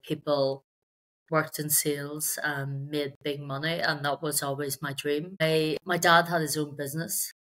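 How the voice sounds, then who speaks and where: A woman's voice: low-pitched, flat delivery, measured pace, a bit bright. A middle-aged woman with a Belfast accent telling her career story conversationally in an interview, with wry, self-deprecating asides. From Belfast, Northern Ireland. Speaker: sales executive, interview guest. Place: indoor room on a video call.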